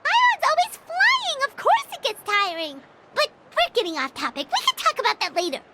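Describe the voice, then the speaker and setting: A woman voicing a small, chirpy, chatty childlike fairy companion in a video game, with a squeaky voice. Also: sing-song, normal speed, heavy background noise, exaggerated voice. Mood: indignant. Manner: speaks of self in third person, exclaiming.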